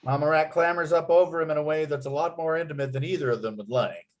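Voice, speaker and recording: A man reading screenplay scene headings and action aloud as narrator, with expressive storytelling delivery. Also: fairly high pitch, fast talker.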